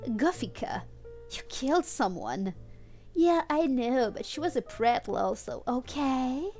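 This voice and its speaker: A woman's voice: on the high side, darkish tone. A woman livestreaming a read-aloud of fan fiction on a headset, playful and mock-dramatic, with amused asides. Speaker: streamer and host.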